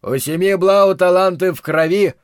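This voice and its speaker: A man voicing a pompous older father who boasts loudly about his son in a storybook reading. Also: high voice, normal speed, smooth, dry recording, clean studio sound, voice pushed up, natural voice. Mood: boastful.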